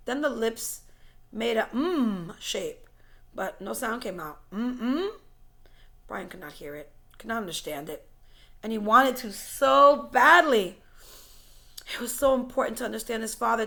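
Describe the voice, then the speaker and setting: Bright, high-voiced woman reading a story expressively. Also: creaky. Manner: dramatic, emphatic, dropping to hushed tones. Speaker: teacher reading a book aloud. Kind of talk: expressive storybook read-aloud.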